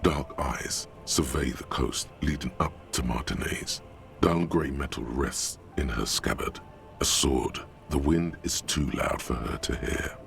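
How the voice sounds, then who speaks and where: A male actor playing the brooding, all-knowing narrator and inner voice of a detective in a video game, gravelly and deliberate, with literary delivery. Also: extremely low pitch, a bit bright, soft background music, heavily acted. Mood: brooding, observant.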